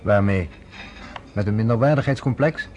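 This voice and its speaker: An actor playing a wry, skeptical American friend in a radio drama, teasing and lecturing. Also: male voice, lowish voice, moderate pace, darkish tone, lightly acted.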